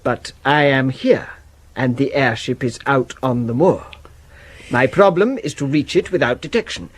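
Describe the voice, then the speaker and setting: An actor playing a pompous, insistent English gentleman with a formal, declamatory manner, in a 1970s radio drama. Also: medium pitch, measured pace, husky. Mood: persuasive.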